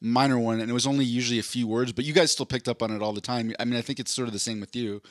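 A man's voice: rapid-fire, no room sound, studio quality. A man from southeastern Pennsylvania with a slight accent, co-hosting a casual podcast, chatty, with stammered restarts. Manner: chatty, stammering restarts, animated jumps in pitch. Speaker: podcast co-host. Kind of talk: casual chat.

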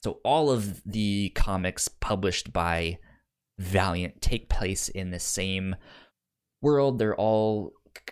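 A man's voice: sits low, takes it slowly, clean tone. A man co-hosting a casual pop culture podcast, explaining things and often repeating words as he goes. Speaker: podcast co-host.

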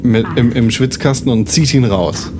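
Man improvising a story haltingly. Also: mid-range voice, background noise. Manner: halting, thinking aloud. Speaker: tabletop role-playing player. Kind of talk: casual improvised storytelling among friends.

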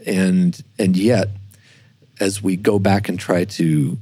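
Low, husky man speaking haltingly. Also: steady tone, warm tone. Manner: halting, repeats words while thinking aloud. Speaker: church historian, podcast guest. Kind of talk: reflective unscripted discussion.

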